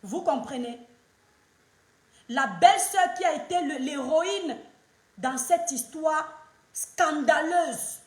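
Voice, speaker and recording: High-voiced woman commentating emphatically. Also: animated, takes it slowly, pauses often, roomy sound. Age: 30s. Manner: emphatic, repetitive, lecturing. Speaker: online commentator. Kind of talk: opinionated social media commentary.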